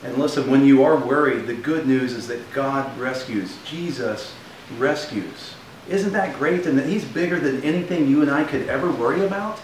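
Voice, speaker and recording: A man in his thirties, a pastor, gently reassuring a puppet in a children's church skit. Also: mid-range voice, moderate pace. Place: studio with beach backdrop. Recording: roomy echo, distant mic, faint hiss.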